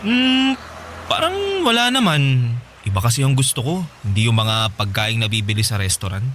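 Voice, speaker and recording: A man's voice: medium pitch, animated, normal speed, a bit bright, a little hiss or hum, lightly acted. A man playing an easygoing, devoted college boyfriend in a radio drama, talking casually with a Filipino accent. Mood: tender, hesitant. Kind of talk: radio drama acting, conversational.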